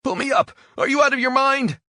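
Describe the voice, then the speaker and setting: A man voicing a terrified captive man who shouts and pleads in a high, strained voice. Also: very animated, moderate pace, breathy edge, dry recording, clean studio sound, full character voice. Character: panicked captive man, defiant then terrified.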